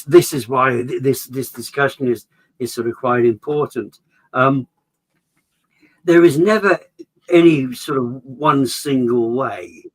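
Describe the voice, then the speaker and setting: Bloke rambling through an explanation, lots of restarts and sort-ofs, voice jumps up for emphasis. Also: male voice, medium pitch, husky, low-quality recording. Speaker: association chair, regular guest. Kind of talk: conversational explanation.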